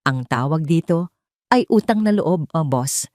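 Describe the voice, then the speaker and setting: An elderly former driver, voiced by a woman storyteller, humble and deeply loyal. He speaks earnestly and with feeling, in a dramatic storybook manner. The sound is dry and close. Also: lively intonation, measured pace, a little mellow, no echo. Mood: grateful, earnest. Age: older.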